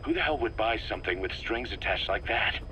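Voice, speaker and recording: Forceful, skeptical military officer. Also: male voice, on the low side, animated, fairly quick, rough recording. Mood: skeptical, probing. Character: sharp, skeptical, hard-nosed male military officer. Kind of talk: dramatic video game dialogue. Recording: faintly hissy cassette tape.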